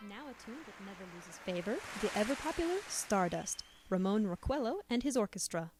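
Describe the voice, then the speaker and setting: A woman's voice: medium pitch, lively intonation, brisk, loud music behind the voice, close to an everyday voice. A woman playing a gracious dance-band announcer in a 1930s-style radio drama, formal and presentational. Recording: noisy recording with clicks.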